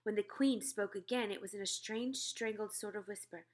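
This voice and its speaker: A woman narrating a children's storybook aloud in an expressive style. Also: mid-range voice, moderate pace.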